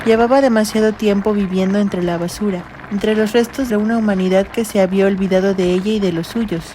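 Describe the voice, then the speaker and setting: A woman's voice: mid-range voice, fairly even intonation, a little fast, background noise. Woman narrating a science fiction audio story. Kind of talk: scripted literary narration.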